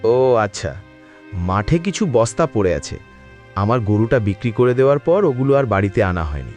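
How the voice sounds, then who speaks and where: Animated cartoon village employer. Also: male voice, fairly low pitch, normal speed. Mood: considerate. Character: considerate male village landowner employer. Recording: background music under the voice.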